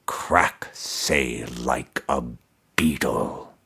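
Picture a dead emperor's skull buried under a tree, speaking in a resonant, toneless voice from far below as a male narrator puts him on. The voice sits well beneath a deep speaking voice, slow and heavy with command. It suits a dark spoken-word fantasy tale. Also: vocal fry, slightly rough, full character voice. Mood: menacing.

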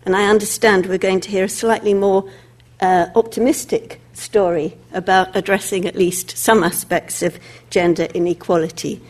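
A woman opening a public lecture on behalf of a charitable trust, speaking earnestly with some halting fillers. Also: mid-range voice, steady tone. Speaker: trust representative opening the event. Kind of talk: formal opening remarks.

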